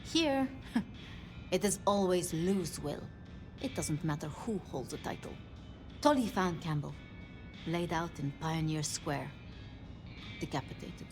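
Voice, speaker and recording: Hesitant, expressive young-apprentice vampire woman. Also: lowish voice. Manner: hesitant, self-deprecating, halting. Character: Safia, modest, unsure vampire apprentice woman. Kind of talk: video game dialogue acting. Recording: music behind the voice.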